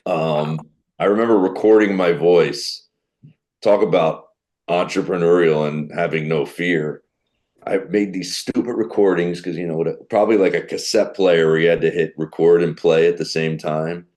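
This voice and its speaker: A middle-aged man with a deep voice, a comedian telling animated stories in a podcast interview. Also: normal speed. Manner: animated storyteller who acts out quoted people. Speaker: guest comedian.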